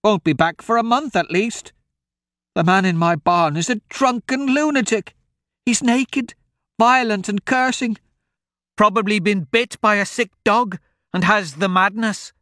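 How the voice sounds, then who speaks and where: A shrill, frightened old farm woman, as a male narrator puts her on. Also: unhurried, fairly bright tone, no room sound, clean studio sound. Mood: frightened pleading.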